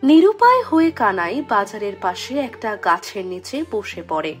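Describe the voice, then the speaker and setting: A woman's voice: mid-range voice, sing-song, moderate pace, faint music behind. A woman narrator reading a scripted children's fairy tale.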